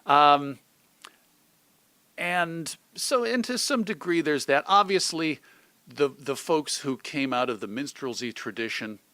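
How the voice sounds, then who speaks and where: A man's voice: fairly high pitch, lively intonation, slow pace, sharp tone, dry recording. A middle-aged man with an American accent, talking reflectively and articulately as a guest in a conversational interview. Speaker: interview guest, radio host and editor.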